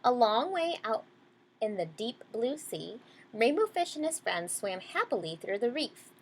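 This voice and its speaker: Higher-voiced woman reading a picture book, very lively. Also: unhurried, a little hiss or hum. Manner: explains words plainly for young children. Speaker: read-aloud storyteller. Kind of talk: children's picture-book reading with simple asides.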